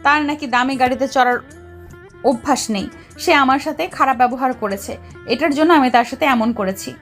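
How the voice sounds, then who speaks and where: A woman's voice: high voice, moderate pace, fairly bright tone, voice pushed up. A woman voicing an angry, domineering young female boss in an audio story, scolding and giving orders. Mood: resentful. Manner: scolding, commanding. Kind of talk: Bengali audio story character dialogue. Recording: music under the voice.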